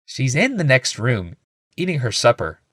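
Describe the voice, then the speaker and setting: A man's voice: mid-range voice, wildly swooping pitch, moderate pace, a bit bright, no echo, studio quality, voice pushed up. A man voicing a young male healer in an audiobook, matter-of-fact, dutiful and faintly smug.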